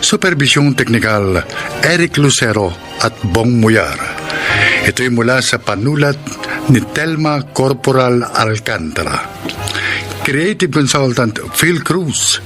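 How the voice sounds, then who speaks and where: Slow, formal Filipino man announcer. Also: medium pitch, lively intonation. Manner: formal, measured. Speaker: radio drama announcer. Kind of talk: scripted announcing. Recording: prominent music behind the voice.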